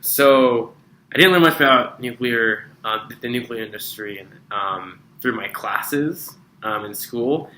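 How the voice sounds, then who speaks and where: A young man from New Mexico answering an interview in halting, repetitive phrases as he thinks aloud. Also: medium pitch, pushed and bright, echoey room, slight background noise, rough recording. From southern New Mexico, USA.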